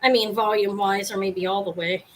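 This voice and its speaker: Brief: woman, live-sale host, loud carrying voice, rambly and self-interrupting, home setup. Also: medium pitch, a little hiss or hum, low-quality recording. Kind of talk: casual live sale chat. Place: home apartment, fan running.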